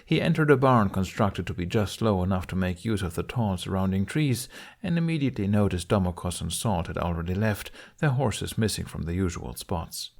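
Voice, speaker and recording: A man's voice: low-pitched, expressive, fairly quick, gravelly. A man reading a scripted story aloud as an audiobook narrator. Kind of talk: scripted narration of fan fiction.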